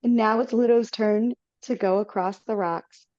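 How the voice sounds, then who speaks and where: A woman's voice: on the high side, fairly even intonation, normal speed, a little mellow, no room sound. Woman casually reading stage directions. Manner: casual, with slips and self-corrections. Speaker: narrator. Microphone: video call. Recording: dull lo-fi audio.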